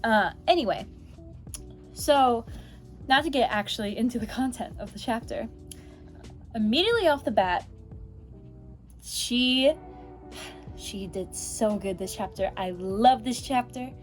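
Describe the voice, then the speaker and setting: A young woman vlogging about a book chapter in a casual, rambling, animated way, with a swear word here and there. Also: on the high side, unhurried, pauses often, faint music behind. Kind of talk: casual chat.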